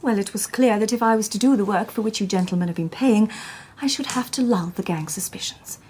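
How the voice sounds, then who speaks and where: An actress playing a composed, precise Victorian lady detective with a reserved, breathy manner. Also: mid-range voice, a little fast, a little mellow, a little hiss or hum, natural voice. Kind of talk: radio drama acting.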